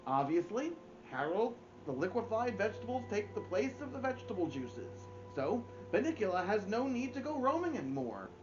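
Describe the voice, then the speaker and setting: A man in his thirties hosting story time, reading a children's book aloud with theatrical emphasis and expressive pauses. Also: high-pitched, highs cut off, over music. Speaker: story-time host and reader. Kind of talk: animated children's audiobook-style read-aloud. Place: small furnished room at home, indoors.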